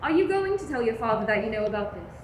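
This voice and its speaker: A woman playing a gentle patient teacher. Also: a little high, sing-song, quick pace. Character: calm female teacher questioning a boy. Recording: echoey room with faint noise.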